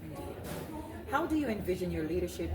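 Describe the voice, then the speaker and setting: A woman hosting a talk show, putting prepared interview questions in a formal, measured way. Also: on the low side, sing-song, very noisy. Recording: roomy, distant mic, crowd behind.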